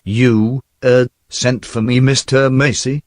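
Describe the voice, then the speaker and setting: A man's voice: mid-range voice, flat delivery, slow, no echo, studio quality, lightly acted. A man playing a nervous, obsequious store underling with a hesitant, fawning manner in a radio drama. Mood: cowed. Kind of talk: old radio drama acting.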